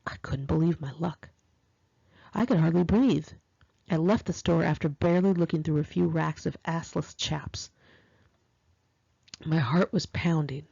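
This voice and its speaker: An American woman reading her own memoir aloud in an expressive, confiding, conversational storytelling style, with girlish slang. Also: deep voice, measured pace, creaky, pauses often, slightly rough, no room sound, dull audio. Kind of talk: first-person audiobook narration, conversational and dramatic.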